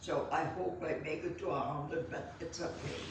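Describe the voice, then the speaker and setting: A woman, recalling her life in an interview in a halting manner full of fillers and restarts. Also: low voice, steady tone, background noise. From Belgium. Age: 90s. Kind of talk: reminiscing storytelling. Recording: echoey room, voice set far from the mic.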